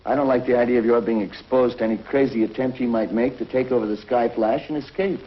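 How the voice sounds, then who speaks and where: Firm, earnest space hero played by an actor, roomy and distant old 1950s TV sound, dull. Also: male voice, mid-range voice, fairly even intonation, fast, close to an everyday voice. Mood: stubborn. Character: brave square-jawed male space hero pilot. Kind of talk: 1950s TV drama acting.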